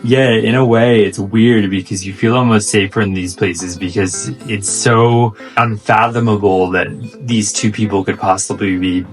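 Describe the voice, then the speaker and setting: A man's voice: on the low side, moderate pace, hardly any pauses, faint music behind. A man in his thirties from the United States with an American accent, co-hosting a casual travel chat in a fluent, easygoing way. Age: 30s. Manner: fluent, easygoing, relaxed storytelling. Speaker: co-host.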